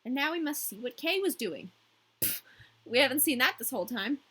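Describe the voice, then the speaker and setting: A woman's voice: high voice, animated, brisk, a bit bright, slightly rough. A woman hosting a storytelling show, reading a fairy tale expressively with wry, casual asides.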